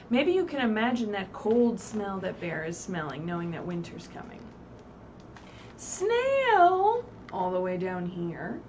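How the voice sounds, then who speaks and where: A woman reading aloud expressively at a children's story time, pausing to put simple questions to her young listeners. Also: medium pitch, darkish tone. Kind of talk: animated read-aloud with asides to young children. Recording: distant mic, faint background noise, livestream.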